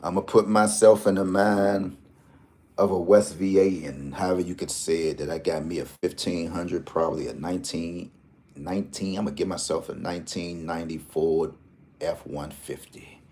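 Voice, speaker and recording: A low-pitched man talks through lottery numbers in a loose, joking vlog ramble. His voice is deep and mellow, and he jumps from slang-filled asides to number picks. He keeps an animated, chatty tone throughout. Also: moderate pace. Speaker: lottery tips host. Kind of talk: casual rambling vlog talk.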